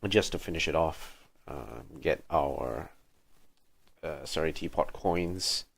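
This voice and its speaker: A man's voice: rumbling low, a little slow, gravelly, a bit bright, a little hiss or hum. A man livestreaming a video game with casual commentary, thinking aloud in short remarks. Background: game music.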